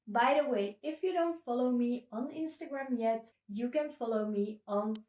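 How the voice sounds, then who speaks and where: A woman whose first language is Dutch leads an online language lesson in a fairly high voice and an encouraging tone, often turning questions back to her viewers. The sound is roomy, with her voice set back a little from the mic. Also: darkish tone, distant mic. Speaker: Dutch language teacher, channel host.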